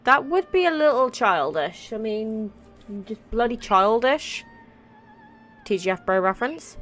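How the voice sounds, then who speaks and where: A woman with a raised, girlish-leaning pitch does casual let's play commentary. She is chatty and irreverent, swearing freely, with faint game music behind her. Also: very animated, a little slow, a bit bright. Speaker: gaming streamer.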